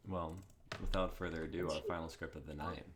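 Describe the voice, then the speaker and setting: A man's voice: a bass voice, steady tone, fairly quick. A man in his twenties co-hosting a livestream in casual chat, with some noise on his recording. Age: 20s. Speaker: co-host on a group livestream. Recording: clear background noise, clicks.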